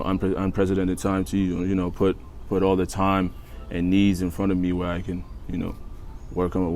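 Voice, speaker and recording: Deep-voiced young man, second-language English. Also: a little mellow, slight background noise. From East Africa and Australia. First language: not English, learned it after moving to Australia. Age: 20s. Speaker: interview guest, college basketball player. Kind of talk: casual interview, telling own life story. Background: background music.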